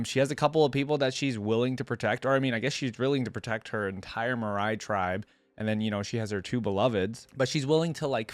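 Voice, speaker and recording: A man gives casual reaction commentary in a medium-pitched voice. He muses and explains as he goes, informally. The recording is dry, with very little room sound. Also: no room sound. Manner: musing, explanatory. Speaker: reaction video host.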